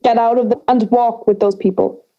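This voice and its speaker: A woman's voice: on the high side, fairly even intonation, moderate pace, highs cut off, lightly acted. A young woman playing a wry schoolgirl friend, sheepish but bossy, in a conversational audio drama scene. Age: young adult.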